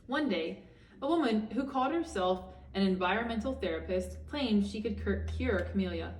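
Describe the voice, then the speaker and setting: A woman's voice: medium pitch, a little hiss or hum. A woman reading a children's picture book aloud as a teacher, expressively, for young listeners. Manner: expressive, pausing to ask listeners questions. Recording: echoey room, distant microphone.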